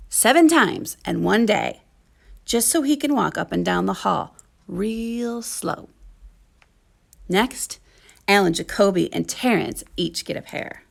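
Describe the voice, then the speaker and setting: A woman narrating a children's story as a teaching artist, with expressive, deliberate phrasing. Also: medium pitch, creaky.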